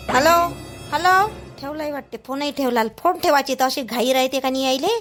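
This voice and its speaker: A woman plays a needling cartoon wife from a village, always complaining. Her voice is very high, with a Vidarbha Marathi accent, over faint music. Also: steady tone, fairly quick, fairly bright tone. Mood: aggrieved.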